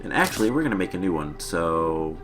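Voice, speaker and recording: Low-voiced man, stuffy, game music behind. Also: fairly quick. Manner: relaxed and rambling, with many "uh" fillers. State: slightly stuffy from a cold. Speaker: gaming channel host. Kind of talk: casual let's-play commentary.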